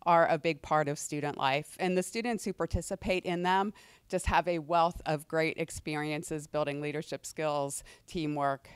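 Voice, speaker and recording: Hoarse woman speaking over a mic. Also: low-pitched, no room sound. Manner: conversational, with fillers and restarts. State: hoarse, losing her voice from a cold. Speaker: university administrator presenting. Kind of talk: presentation to student senate. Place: lecture hall, indoors. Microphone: handheld microphone.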